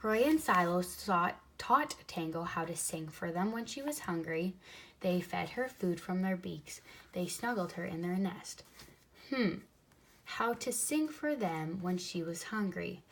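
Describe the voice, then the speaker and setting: A woman's voice: lowish voice, unhurried. Woman reading a children's storybook aloud. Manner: expressive, simple explanations as if to young children. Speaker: storybook reader. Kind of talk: children's read-aloud with think-aloud comments.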